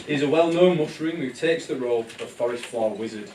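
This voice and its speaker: A man's voice: medium pitch, brisk, darkish tone. A man narrating a live puppet show in a comic, theatrical style, playful and exclamatory. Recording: very noisy, roomy, distant live bootleg.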